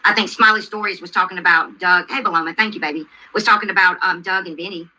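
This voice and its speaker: A woman's voice: on the low side, steady tone, quick pace, pushed and bright, slight background noise, low-quality recording. A woman hosting a livestream, commenting on a video and answering chat in an insistent way, repeating herself and asking questions. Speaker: livestream host.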